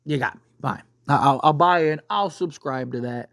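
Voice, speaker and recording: An online commentator with a mid-pitched voice, recorded close and very dry. The delivery is a casual, opinionated rant, emphatic and conversational, punctuated by rhetorical check-ins. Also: normal speed, fairly bright tone, dry recording. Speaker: YouTube host giving film commentary.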